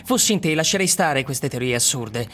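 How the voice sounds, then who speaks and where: An actor playing a dismissive, scolding friend who is sure of orthodox views in a radio drama. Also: male voice, mid-range voice, animated, moderate pace, a bit bright. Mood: exasperated, dismissive. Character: exasperated, dismissive male friend, a smug defender of orthodoxy. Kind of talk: theatrical radio drama acting.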